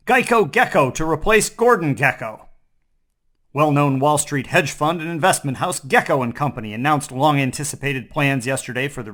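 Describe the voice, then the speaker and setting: A man's voice: fairly high pitch, fairly bright tone. A man hosting a scripted comic newscast, delivering it in a mock-serious newsreader manner. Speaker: host and news anchor.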